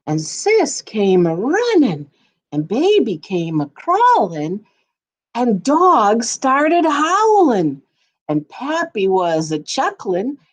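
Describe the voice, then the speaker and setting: A woman tells a story to young children with a strongly animated, swinging pitch. She takes her time, playful and expressive, and slips easily between reading and casual chat. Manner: playful, expressive, sing-song when reading. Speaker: guest storyteller.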